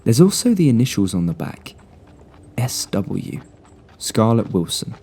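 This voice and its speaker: A man voicing a clever young male detective who lays out deductions coolly and deliberately. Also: lowish voice, very animated, dark tone, breathy edge, full character voice. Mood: deductive. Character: clever young male sleuth, calm and smug.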